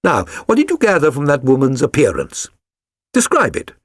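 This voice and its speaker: A man's voice: mid-range voice, huge pitch swings, moderate pace, husky, no room sound, studio quality. A man voicing a keen, self-assured detective for an audiobook, with a British accent and a precise, lecturing, condescending manner. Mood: brisk, lecturing. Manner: precise, didactic, theatrical. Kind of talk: dramatised audiobook reading.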